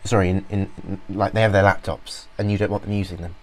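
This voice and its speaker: A man casually talking through a software demo, rambling with many fillers and restarts. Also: low voice, little pitch movement, fast. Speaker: software reviewer. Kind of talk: informal screen-recorded walkthrough.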